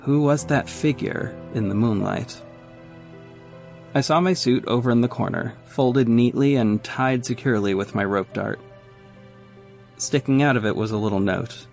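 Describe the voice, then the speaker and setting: Man acting as masked vigilante detective, creaky voice, dry sardonic first-person audio drama narration, faint music underneath. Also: mid-range voice, moderate pace, darkish tone, natural voice. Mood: wry recounting. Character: wry, bewildered male masked vigilante detective narrating his story.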